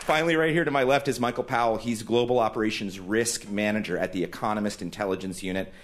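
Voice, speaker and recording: A man's voice: fairly low pitch, quick pace, background noise. A man moderating a live panel discussion, introducing and questioning guests as the host. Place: live event space before an audience.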